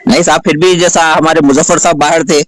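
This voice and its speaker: A man's voice: on the high side, steady tone, quick pace, a bit bright. A man with a Hyderabadi accent asking questions in a deferential, informal way on a recorded phone call. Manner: deferential, frequently addresses the other as sir. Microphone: telephone.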